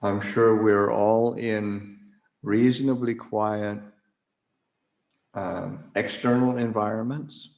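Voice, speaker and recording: A man leading a guided meditation as a Buddhist teacher, speaking haltingly with long pauses as he searches for words. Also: lowish voice, fairly even intonation, a little mellow, lo-fi sound. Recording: roomy, echoing livestream recording.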